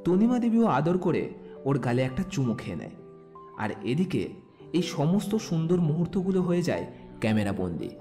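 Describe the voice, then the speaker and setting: A man's voice: a little high, wildly swooping pitch, moderate pace, warm tone, faint music behind. A man narrating a scripted romantic story as a storyteller.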